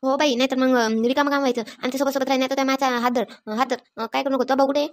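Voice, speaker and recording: A woman performs a bossy, practical village woman from a cartoon comedy, with a Varhadi Marathi accent. Her voice is high and rather even, and she rattles along extremely fast, repeating herself as she admonishes. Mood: cautioning.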